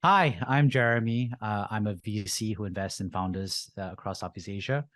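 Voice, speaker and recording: Low-voiced man from Singapore, chatty. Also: normal speed, fairly bright tone. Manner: frequent uh and you know fillers, easygoing. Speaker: guest, venture capitalist. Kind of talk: casual interview storytelling.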